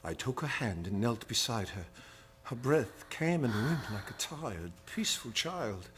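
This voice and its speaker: An actor playing a grave, devoted gentleman reading his private journal in a husky voice, in old-time radio drama style. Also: medium pitch, sing-song, unhurried, slight background noise. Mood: grave, troubled.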